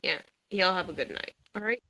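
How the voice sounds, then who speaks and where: Young woman host, loud and bright, swears freely and trash-talks while she plays. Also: lowish voice, animated, a little fast. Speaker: podcast host. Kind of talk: casual gaming chat.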